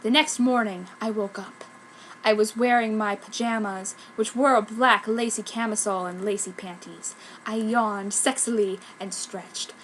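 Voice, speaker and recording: A woman's voice: mid-range voice, steady tone, measured pace, fairly bright tone, a little hiss or hum, poor audio. A woman giving a theatrical amateur reading of fan fiction. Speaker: amateur fanfiction reader.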